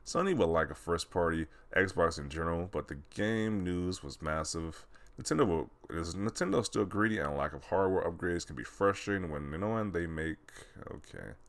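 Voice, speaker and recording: A man's voice: sits low, lively intonation, moderate pace, few pauses. A man doing casual reaction commentary in a mocking, incredulous way. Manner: mocking, incredulous, often chuckling. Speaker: video host commentator. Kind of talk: casual commentary, reacting to social media posts.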